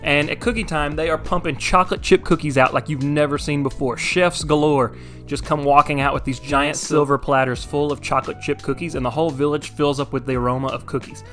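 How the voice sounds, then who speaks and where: A man's voice: fairly high pitch, steady tone, a little fast, fairly bright tone. A man in his thirties giving a casual, enthusiastic, conversational voice-over review as a vlog host. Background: background music.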